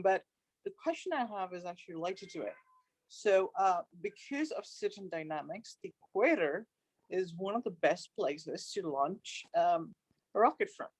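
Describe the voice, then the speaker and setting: A woman's voice: fairly low pitch, no room sound, rough recording. A woman on a video call, explaining an idea eagerly with many fillers and restarts. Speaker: event participant. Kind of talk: casual virtual panel remarks.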